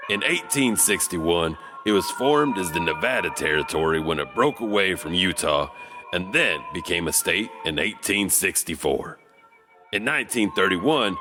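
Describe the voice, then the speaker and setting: A man narrating as an enigmatic janitor host, telling spooky tales with eerie chuckles and a drawling delivery. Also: deep voice. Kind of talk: spooky scripted horror narration.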